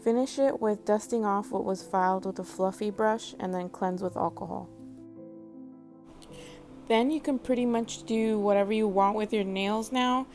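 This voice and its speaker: A woman hosting a nail tutorial, giving calm step-by-step instructions in a matter-of-fact voice-over. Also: medium pitch, normal speed, faint music behind.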